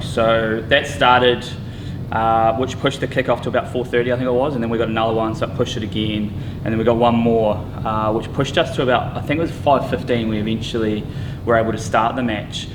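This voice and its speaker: A man, a rugby head coach, answering interview questions in a relaxed, halting way with many fillers. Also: mid-range voice, noisy background.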